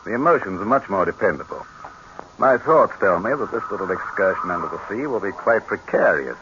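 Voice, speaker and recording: Pompous, low-voiced dictator played by a man, old radio. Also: lively intonation, a little fast, close to an everyday voice. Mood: condescending. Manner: pompous, condescending, savoring his phrases. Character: pompous, imperious male dictator, amused and condescending. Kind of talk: 1930s radio drama acting. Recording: muffled, noisy 1930s radio recording.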